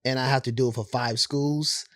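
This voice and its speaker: A man with a hoarse, raspy voice from overuse, co-hosting a casual podcast chat in a laid-back, drawn-out way with lots of affirmations. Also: medium pitch, steady tone, no echo, clean studio sound. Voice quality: husky from overuse. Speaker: co-host, theater director for schools.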